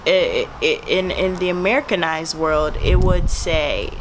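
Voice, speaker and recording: A woman's voice: fairly low pitch, measured pace, slight background noise. A twenty-year-old woman from Jamaica with a Jamaican accent, telling a personal story to camera in a casual, blunt and profane way. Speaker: vlogger.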